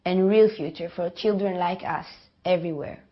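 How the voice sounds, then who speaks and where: Deliberate young woman, muffled. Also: lowish voice. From Israel. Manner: earnest, deliberate statements. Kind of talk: scripted appeal to camera.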